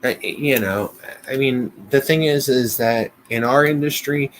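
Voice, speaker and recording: A man with an American accent in a casual business discussion, speaking haltingly, often repeating words and restarting. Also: mid-range voice, low-quality recording. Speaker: business podcast co-host. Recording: noticeable background noise.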